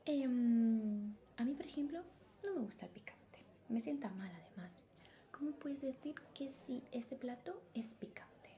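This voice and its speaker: A woman's voice: fairly high pitch, expressive, a little slow, dark tone. A woman from whispering a patient, praising English lesson as an ASMR roleplay tutor. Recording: clear keyboard typing noise.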